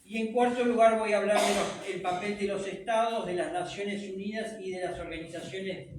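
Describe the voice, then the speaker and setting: A man's voice: high-pitched, steady tone, brisk. A man whose first language is French, giving a conversational public talk to an audience and asking them rhetorical questions. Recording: echoey room from far off, faint noise.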